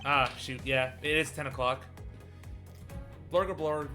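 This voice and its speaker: A man's voice: mid-range voice, fairly even intonation, a little slow, pushed and bright, faint music behind. A man chatting casually and offhandedly during a live dub.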